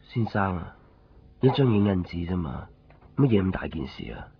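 A man's voice: deep voice, sing-song, normal speed, a little hiss or hum. A man with a Hong Kong Cantonese accent playing a weary, short-tempered photocopy shop keeper in a radio drama. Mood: resigned. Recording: muffled 1990s radio drama, music behind. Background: music.

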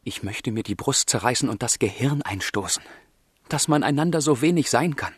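A man's voice: medium pitch, expressive, moderate pace, husky, no echo, studio quality. An actor playing a passionate, tormented young man in a literary radio drama, speaking in formal, intense language. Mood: anguished, passionate. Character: passionate, tormented young man of feeling, letter-writing lover. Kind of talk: German literary radio drama, monologue and dialogue.

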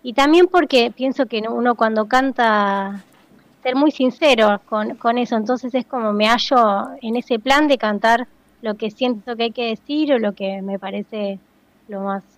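A woman's voice: fairly high pitch, unhurried, dry recording. A woman singer-songwriter, speaking in a casual interview and searching thoughtfully for her words. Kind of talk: casual interview over a phone line. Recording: dull, dry phone-line sound.

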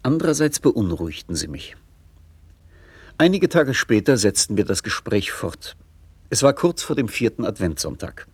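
An actor playing a concerned, thoughtful middle-aged father in a measured, deliberate radio-play style, with a husky voice. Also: fairly low pitch, sing-song, a little mellow. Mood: reflective narration. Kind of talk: 1960s German radio play acting.

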